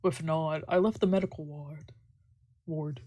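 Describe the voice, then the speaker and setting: Female let's play streamer, low-set mellow voice, reads story text with casual playful asides. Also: steady tone, a little slow. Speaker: streamer doing a visual novel let's play. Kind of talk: reading game text aloud with casual reactions.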